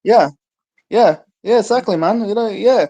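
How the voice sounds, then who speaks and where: A man's voice: sits high, moderate pace, warm tone, muffled recording. A man calling in to a live show, laid-back and rambling, with lots of fillers. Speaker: caller. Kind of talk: casual chat.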